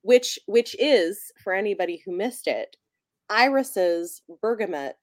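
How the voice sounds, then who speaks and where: A woman's voice: medium pitch, expressive, measured pace, no room sound. Woman chatting casually, close dry sound. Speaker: book podcast host. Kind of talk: casual chatty book discussion.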